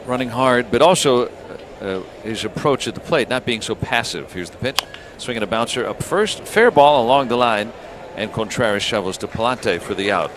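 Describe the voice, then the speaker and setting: A man's voice: mid-range voice, animated, normal speed, hardly any pauses, background noise. A man calling live baseball play-by-play on the radio as the announcer.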